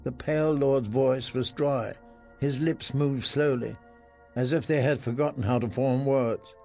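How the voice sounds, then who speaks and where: A man narrating fantasy prose in a dramatic audiobook style, with measured, deliberate delivery. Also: mid-range voice, creaky, a little mellow, faint music behind.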